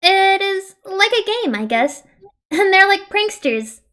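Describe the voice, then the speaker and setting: A woman reads as a sweet teenage girl in a web comic read-aloud. The voice is high and swings freely in pitch. She sounds gentle, patient and reassuring. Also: very animated, unhurried, heavily acted. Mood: patient, mild. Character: gentle, upbeat teenage girl chatting online.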